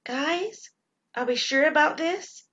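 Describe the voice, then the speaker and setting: A woman's voice: expressive, slow, a bit bright, muffled recording, exaggerated voice. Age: young adult. Figure: a woman playing a timid, fearful college girl. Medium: audio drama. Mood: nervous. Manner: high-pitched, anxious, shrieks when scared.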